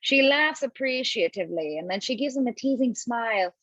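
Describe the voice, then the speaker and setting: A woman narrating stage directions at a table read from home, lively and expressive, with small slips. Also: a little high, brisk, no echo. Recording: dull lo-fi, dry.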